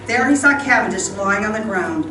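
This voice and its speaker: A woman's voice: mid-range voice, animated, fairly quick. A woman narrator reading an old-time radio adventure in a theatrical, dramatic style. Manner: theatrical, occasional stumbles in reading. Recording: roomy distant stage pickup, loud music.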